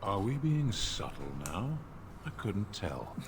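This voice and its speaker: An actor playing a grave, blunt giant warrior in a fantasy video game, with a terse, dry delivery. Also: male voice, lowish voice, sing-song, takes it slowly, exaggerated voice. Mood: deadpan. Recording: noisy game audio.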